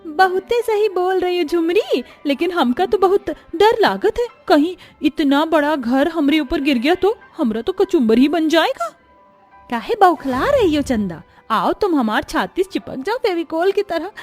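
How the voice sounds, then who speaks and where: A woman voicing a naive, excitable young village bride in a Hindi cartoon, with a rustic eastern dialect and comically dramatic exclamations. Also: very high-pitched, unhurried, soft background music, full character voice. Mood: excited. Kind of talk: Hindi cartoon story dialogue.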